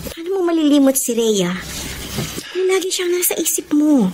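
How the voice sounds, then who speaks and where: A woman's voice: high-pitched, lively intonation, moderate pace, noisy background. An actress playing a young woman secretly in love with her friend, speaking in an emotional, pleading way in a radio drama. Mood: urging. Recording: music score behind. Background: music.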